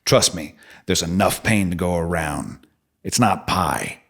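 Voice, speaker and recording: An actor playing a blunt, needling, foul-mouthed streetwise stranger who lives in the subway, in an audio drama. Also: male voice, sits low, a little slow, fairly bright tone, heavily acted. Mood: angry, blunt. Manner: blunt, profane, probing.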